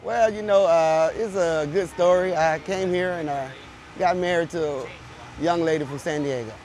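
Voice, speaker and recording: Middle-aged man from Alabama, slightly high voice, unhurried homespun storyteller, faint traffic behind. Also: darkish tone. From Birmingham, Alabama. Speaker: interviewee.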